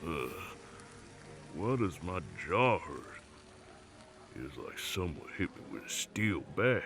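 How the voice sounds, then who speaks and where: Man acting slow, low, brash loudmouth. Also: sing-song, over music, exaggerated voice. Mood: groggy, confused. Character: brash, combative Southern loudmouth man named Jack. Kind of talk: audio roleplay drama acting.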